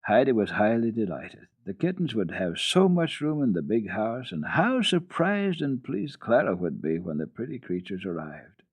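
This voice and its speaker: A man giving an expressive, deliberate dramatic reading of children's literature as a radio storyteller. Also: fairly low pitch, husky. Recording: dull phone-like old radio recording, roomy echo.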